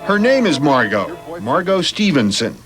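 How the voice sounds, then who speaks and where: A man's voice: on the high side, very animated, a little slow. Male reporter voice-over: playful storyteller, very varied intonation, prominent music bed. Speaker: reporter narrator. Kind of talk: scripted feature narration.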